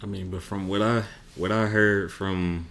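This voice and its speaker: Deep-voiced, laid-back podcast host. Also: male voice, fairly even intonation, unhurried, fairly bright tone. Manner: laid-back, encouraging, asks short follow-up questions. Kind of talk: casual interview chat.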